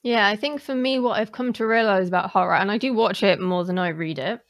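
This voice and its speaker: A woman's voice: medium pitch, fairly quick, no echo, clean studio sound. A woman talking casually as a podcast host, explaining her views in a candid way.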